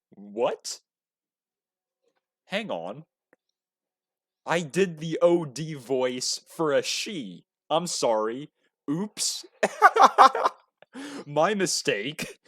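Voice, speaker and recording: A man's voice: on the high side, sing-song, drawn-out words, long pauses, fairly bright tone, no echo, clean studio sound. A man giving casual, exclamatory let's-play commentary on a visual novel, with some mild swearing. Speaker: gaming video host. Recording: music behind the voice. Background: guitar music.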